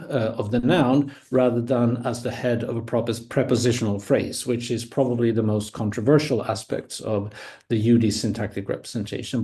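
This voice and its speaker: A man whose first language is Swedish, giving an academic conference talk online in a measured, explanatory way with small restarts. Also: mid-range voice, warm tone. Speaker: conference speaker.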